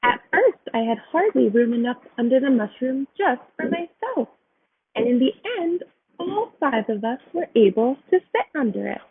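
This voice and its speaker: A narrow, phone-like recording of a little storybook ant, voiced by a woman. It is kindly but hesitant and puzzled, with a high, lively lilt. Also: normal speed, slightly rough, exaggerated voice. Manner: protesting gently, puzzled.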